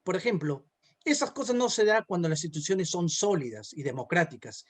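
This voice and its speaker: A man with a Peruvian Spanish accent and a notably high voice gives political analysis as an interview guest. He explains in a conversational way, often checking in with a short tag question at the end of a thought. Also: animated, fairly quick. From Peru.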